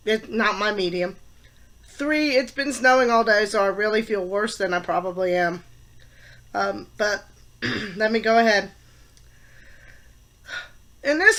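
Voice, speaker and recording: A woman in her fifties talks into the camera for a class vlog, her voice stuffed up with a head cold. Her tone is bright and tense and sits a little high. She lands on her words firmly, with pauses and fillers between them. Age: 50s. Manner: opinionated, emphatic, with pauses and fillers. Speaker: college teacher and student.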